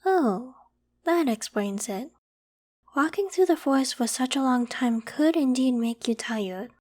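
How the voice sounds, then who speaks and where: A woman voicing a polite, protective young female werewolf with a secret, in a gentle, reassuring ASMR roleplay. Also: high voice, animated, a little slow. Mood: caring, inquisitive. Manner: gentle, reassuring, hesitant when evasive. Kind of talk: ASMR roleplay, acted one-sided conversation.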